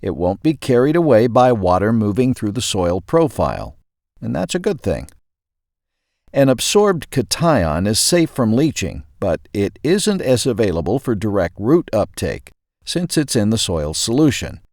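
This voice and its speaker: A man's voice: lowish voice, very animated, measured pace, darkish tone, no echo, studio quality. A man reading a scripted explanatory article as the host, articulating carefully. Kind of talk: scripted narration.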